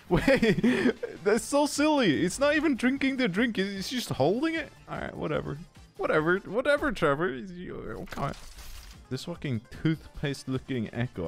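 A young man with a Swedish accent giving goofy, casual commentary while opening loot boxes, tired and swearing freely. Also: on the high side. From Sweden. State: tired from no sleep. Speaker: gaming YouTuber.

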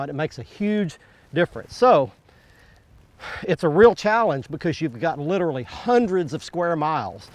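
A middle-aged man hosting a fishing show from a bass boat on a lake, talking in a folksy, enthusiastic way. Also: no echo. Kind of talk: outdoor show presenting.

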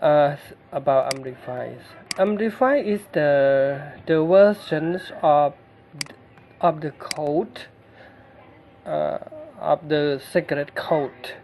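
A man from Cambodia, a native Khmer speaker with a Khmer accent, narrating a screen-recorded tech tutorial in halting English with many fillers and restarts. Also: on the high side, vocal fry, darkish tone.

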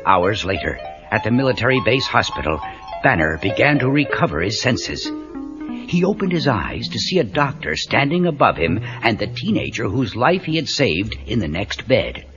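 A man's voice: on the low side, very animated, moderate pace. A man narrating a children's adventure story in a dramatic, emphatic scripted style. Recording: muffled cassette with prominent music.

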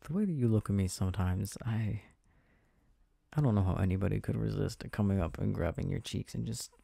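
A man performs an affectionate, gently teasing boyfriend for an ASMR roleplay. His voice is very dark and relaxed, with a gravelly creak and a husky softness. He talks intimately and haltingly, as if reassuring someone he adores. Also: lowish voice, no room sound. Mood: tender reassurance. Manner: soft, intimate, halting, chuckling delivery.

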